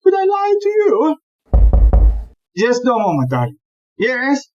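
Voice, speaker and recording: A woman acting in an audio play as a smooth-talking, dismissive male police chief inspector who is a philanderer. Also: high voice, huge pitch swings, slow pace, a little mellow, lo-fi sound, faint music behind, full character voice. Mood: suave.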